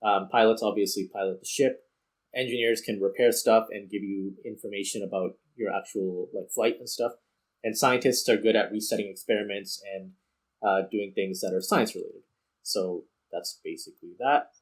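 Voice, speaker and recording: A man's voice: lowish voice, steady tone, normal speed, low-quality recording. A man hosting a gameplay video with casual commentary, thinking aloud as he explains. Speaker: video game streamer and host.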